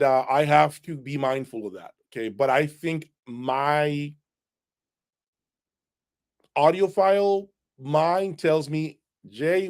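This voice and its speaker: Emphatic man with a slightly high voice. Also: a little mellow. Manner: emphatic and opinionated, with frequent "okay?" check-ins. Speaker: audio equipment reviewer and channel host. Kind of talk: unscripted livestream talk answering viewers' questions.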